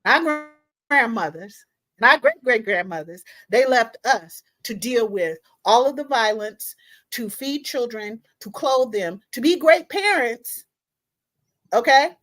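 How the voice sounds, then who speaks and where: A woman's voice: on the high side, lively intonation, slow pace, fairly bright tone. A woman hosting an online talk, speaking emphatically and directly to viewers in an impassioned, informal way. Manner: emphatic, direct address with repeated tag questions. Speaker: online show host.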